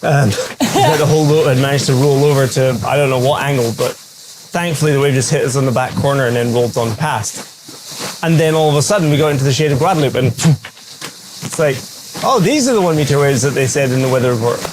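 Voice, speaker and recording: A man's voice: medium pitch, a little fast, background noise. A man who makes sailing vlogs, speaking informally and easily as he chats and narrates about life at sea. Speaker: sailing vlogger. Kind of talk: casual vlog chat and narration.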